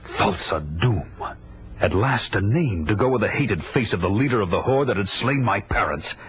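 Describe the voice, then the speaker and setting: Man acting as a deep-voiced vengeful barbarian warrior. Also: lively intonation, moderate pace, fairly bright tone, slight background noise. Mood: grave, brooding. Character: vengeful barbarian warrior narrating his own quest. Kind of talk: scripted first-person audio drama narration. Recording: music behind the voice.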